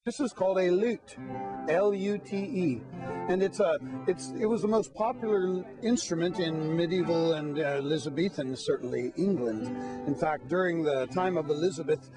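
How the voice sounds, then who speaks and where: A man's voice: a little high, normal speed, low-quality recording. A man, a festival minstrel, chatting informally and explaining things to an interviewer. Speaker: lute-playing minstrel at a Renaissance festival. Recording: loud plucked string music behind. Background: plucked string instrument playing.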